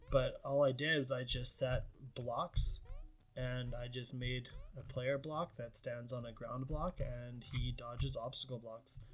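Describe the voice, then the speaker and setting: A man's voice: medium pitch, a little mellow. A man from Canada, an indie game developer talking through his game in a casual, rambling devlog with lots of fillers. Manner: rambling, self-deprecating, frequent fillers.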